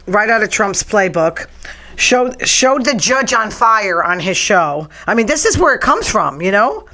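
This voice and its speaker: A woman's voice: medium pitch, bright, forceful tone. A woman talk-show host, ranting casually and bluntly with sarcasm, her voice strained from nearly losing it. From New England. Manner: chatty, blunt, sarcastic rants. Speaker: podcast host.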